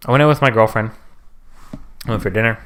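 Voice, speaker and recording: A man's voice: on the low side, fast talker, a bit bright, a little hiss or hum. A man co-hosting a casual podcast, getting worked up and swearing as he talks. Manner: gets worked up, swears when ranting. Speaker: podcast co-host.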